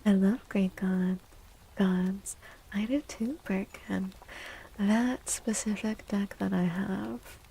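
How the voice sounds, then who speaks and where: A woman streamer chatting in soft-spoken ASMR style, gentle and unhurried, often slipping into a whisper. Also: medium pitch, steady tone, creaky, slight background noise. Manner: unhurried, gentle, pausing between short phrases. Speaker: livestream host.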